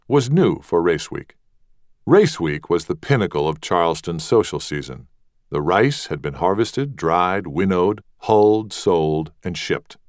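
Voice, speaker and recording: A man reads literary fiction as an audiobook narrator. His voice is deep and his intonation varied, with deliberate phrasing. The recording is dry and close. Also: dry recording.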